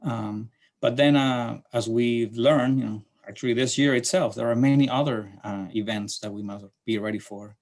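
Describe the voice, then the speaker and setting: A middle-aged man from Chile with a Chilean Spanish accent, giving a slide presentation to a class in a halting way with many fillers and restarts. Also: medium pitch, fairly even intonation, a little mellow. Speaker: city transportation planner presenting to a class.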